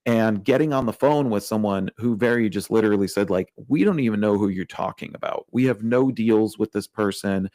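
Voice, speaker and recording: A man's voice: on the low side, brisk, few pauses, a little mellow, no echo. A man co-hosting a casual podcast, telling a story in a chatty, wry way, full of fillers. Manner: chatty, lots of like and you know, wry. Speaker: podcast co-host.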